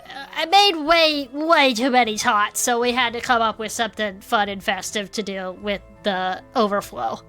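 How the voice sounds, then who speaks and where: A woman acts out a festive small-town co-host on an audio drama show. Her voice is high and bright, with an upbeat, chatty delivery. Music plays underneath. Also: moderate pace, few pauses, close to an everyday voice. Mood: cheerful, chatty. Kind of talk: improvised in-character audio drama chat show.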